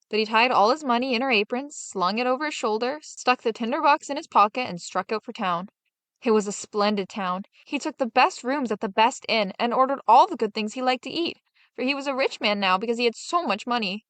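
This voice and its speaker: A woman storyteller reading a fairy tale aloud in an expressive, dramatic manner. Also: fairly high pitch, brisk, no room sound. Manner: dramatic, animated.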